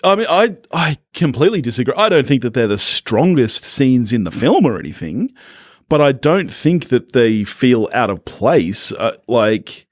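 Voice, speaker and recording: A man's voice: medium pitch, sing-song, normal speed, dry recording, clean studio sound. A man co-hosting a film podcast, chatting casually and arguing back in a teasing, contrarian way. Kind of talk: casual chat, bantering debate.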